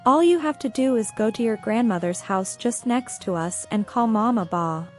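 A woman voicing a gentle, reassuring young mother in a children's story. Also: a little high, expressive, normal speed, soft background music, lightly acted.

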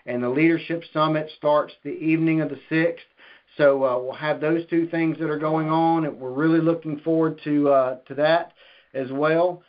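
A man hosting a business conference call, giving announcements in a halting, informal way over a phone line. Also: on the high side, steady tone.

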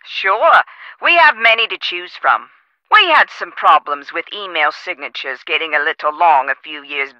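A woman's voice: mid-range voice, sharp tone, no echo, dull audio, heavily acted. An actress playing a chatty, officious female office manager in a video game, heard over a telephone. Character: chatty, prim female office manager on the phone, policy-proud.

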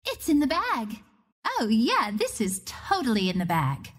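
A woman's voice: fairly high pitch, huge pitch swings, unhurried, husky, soft background music, heavily acted. A woman voicing a cocky, playful young archer of the wind in a video game, with a confident, teasing manner. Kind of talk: video game character voice lines.

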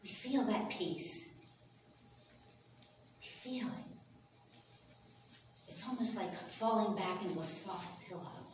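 Unhurried woman pastor in an echoing room. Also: medium pitch. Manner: chatty, folksy, unhurried. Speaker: pastor leading a church service. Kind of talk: informal welcome and guided prayer to a congregation. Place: large echoing room with a congregation. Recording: roomy, distant, noisy church service recording.

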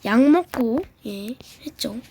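A woman playing a video game and commenting casually, reacting aloud with quick exclamations while getting over a throat cold. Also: fairly high pitch, expressive, dark tone, a little hiss or hum. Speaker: gaming YouTuber.

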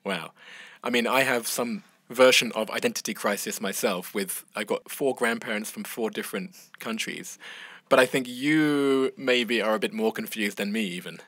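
A man hosting an interview, asking questions in a measured, curious way. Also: on the low side, fairly bright tone, no room sound. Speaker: podcast host.

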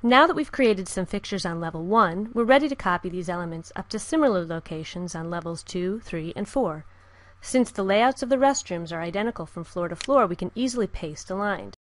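A woman giving calm, scripted step-by-step narration for a software tutorial. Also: on the low side, fairly quick, no echo. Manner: calm, even, step-by-step instruction. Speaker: software instructor. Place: voice-over with screen recording.

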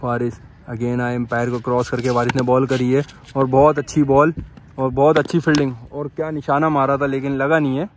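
A man's voice: medium pitch, brisk, a little hiss or hum. A young man from Pakistan giving live ball-by-ball commentary on a street cricket match, filmed on a phone outdoors. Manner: repetitive excited play-by-play, calls out to the umpire. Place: outdoor dirt cricket ground.

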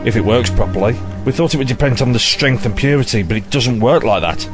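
A man's voice: mid-range voice, a little fast, natural voice. Man playing a blunt police detective over music. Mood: explaining. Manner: blunt, sardonic. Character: gruff, impatient male police detective chief inspector. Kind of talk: audio drama acting.

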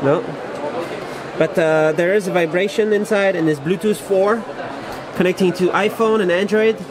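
A man's voice: high voice, steady tone, moderate pace. A man asks casual, on-the-spot product questions as a video interviewer, in clipped and simplified English. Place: indoor exhibition hall booth. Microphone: behind the camera, on-camera mic. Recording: busy trade show crowd noise.

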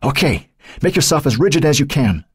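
A man's voice: a little high, big pitch swings, brisk, slightly rough, no echo, studio quality, pitched above the performer's own voice, exaggerated voice. A man voicing a determined young boy who coaxes and insists, guiding a scared friend in a storybook reading. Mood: urging. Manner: urging, insistent.

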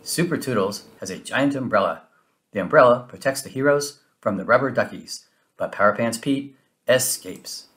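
A man reading a children's story aloud in an expressive, animated way with dramatic emphasis. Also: mid-range voice, moderate pace. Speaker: storybook reader. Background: music.

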